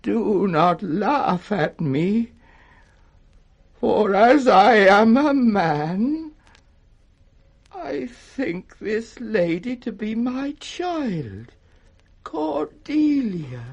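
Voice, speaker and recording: An actor with a British accent playing a frail, confused old king in a 1960s radio Shakespeare, his voice cracked, halting and theatrical. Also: sits high, sing-song, full character voice. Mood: bewildered, humbled. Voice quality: raspy, cracked.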